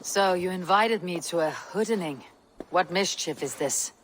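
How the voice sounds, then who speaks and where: A man's voice: high voice, unhurried, a bit bright, rough recording. A man acting a bold, good-humoured Viking warrior in a dramatic game cutscene. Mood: wry. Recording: clear outdoor ambience noise.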